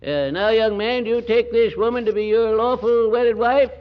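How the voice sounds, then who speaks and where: A man's voice: very high voice, moderate pace, lo-fi sound. An actor playing a bumbling male judge who fumbles through a wedding with chuckles and hesitant "uh"s, in a 1940s radio comedy. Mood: flustered.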